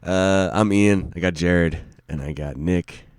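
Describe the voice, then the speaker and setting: A man's voice: deep voice, takes it slowly, a little mellow, smooth, no room sound, studio quality. A man hosting a casual beer show, teasing his co-hosts and slipping into a mock announcer style. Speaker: podcast host. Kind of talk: casual chat.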